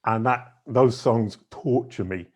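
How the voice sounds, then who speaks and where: A middle-aged man with a big deep voice, a podcast guest telling long stories in casual conversation, with fillers and self-corrections along the way. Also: slow. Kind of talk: casual storytelling interview.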